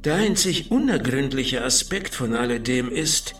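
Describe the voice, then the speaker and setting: An actor playing a suave, sadistic crime boss in a radio drama, gloating with ceremonious, menacing politeness. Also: male voice, medium pitch, sing-song, unhurried, fairly bright tone, far from the mic, faint music behind. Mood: gloating reverence.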